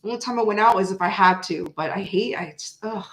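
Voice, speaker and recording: A woman in her forties, raised in Florida and bilingual in French, with an American accent. She chats casually with live viewers, rambling and breaking into sudden animated bursts. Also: medium pitch, fast, echoey room, poor audio. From Canada, raised in Florida. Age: 40s. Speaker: tarot reader chatting with live viewers. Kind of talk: casual livestream chat.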